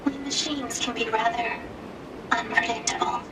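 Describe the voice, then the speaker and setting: A woman voicing a gentle, peace-loving machine in a video game, courteous and softly formal with small hesitations. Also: a little high, fairly even intonation, normal speed, noisy background, natural voice. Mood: careful, earnest. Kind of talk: video game cutscene acting.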